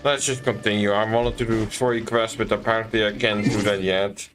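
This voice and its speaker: A man giving casual, rambling commentary as he streams a video game, in a halting way. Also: fairly low pitch, steady tone. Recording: game music behind the voice.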